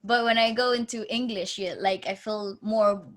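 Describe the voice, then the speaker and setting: A woman's voice: mid-range voice, moderate pace. A woman from Venezuela, a native Spanish speaker, co-hosting a podcast in English and often reacting with exclamations. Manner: animated, exclaims a lot. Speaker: podcast co-host. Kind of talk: casual podcast chat.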